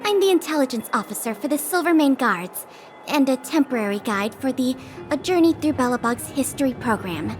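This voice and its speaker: High, animated young female guide. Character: earnest young female intelligence officer acting as tour guide. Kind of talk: video game voice acting, guided-tour presentation.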